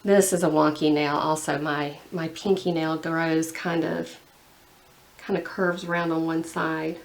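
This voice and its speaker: Gravelly-voiced chatty woman vlogger. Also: sits low, level tone, measured pace, poor audio. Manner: chatty, rambling, frequent "like". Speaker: beauty vlogger. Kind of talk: casual chat while painting nails.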